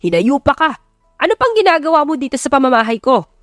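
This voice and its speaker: A woman's voice: high-pitched, big pitch swings, fast talker, no echo, studio quality, soft background music, pitched above the performer's own voice. A woman voicing a stern, protective middle-aged father, blunt and commanding, in an audiobook romance drama. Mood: furious, threatening.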